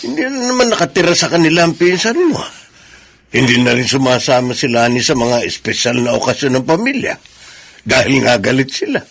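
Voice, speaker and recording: Older filipino relative, husky, firm n reasoning, radio drama. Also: male voice, mid-range voice, very animated, brisk, fairly bright tone, exaggerated voice. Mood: disapproving.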